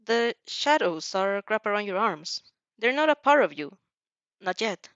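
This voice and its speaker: A woman acts out a patient female mentor from an audio story, guiding with slow, coaxing care. The sound is dry and slightly dull. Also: mid-range voice, sing-song, fairly bright tone, no room sound. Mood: patient, instructing. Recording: dull, muffled lo-fi recording.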